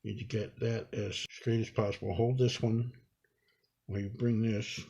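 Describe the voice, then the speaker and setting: A man giving an improvised hands-on tutorial, talking unhurriedly and haltingly through each step as he works. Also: on the low side, little pitch movement, creaky, mellow, husky, lo-fi sound. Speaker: instructor demonstrating a repair.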